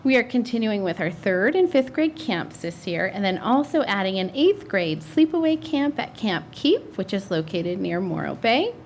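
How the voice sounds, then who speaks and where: A woman delivers a formal report to a school board at a middle pitch, reading steadily from her notes. She drops in an occasional um, and a faint hiss runs under the meeting-room microphone. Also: a little mellow. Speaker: district instructional services administrator.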